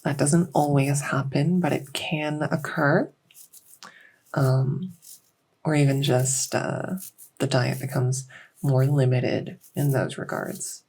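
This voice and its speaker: Lady doing a kind dragon doctor for asmr audio, low husky voice, takes her time, reassuring. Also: steady tone, a little mellow, heavily acted. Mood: clinical, attentive. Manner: unhurried, hesitant, reassuring. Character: gentle, reassuring fairy-dragon clinician doing a check-up. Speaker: roleplay audio performer. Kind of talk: soft medical roleplay ASMR.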